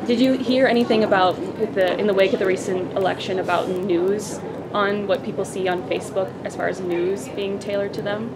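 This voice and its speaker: A young woman interviewing strangers on a busy street, her voice set against loud traffic noise. She asks casual questions, stopping often to look for words. Her pitch sits in the middle. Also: steady tone. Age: young adult. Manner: casual, halting questions with fillers. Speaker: student interviewer.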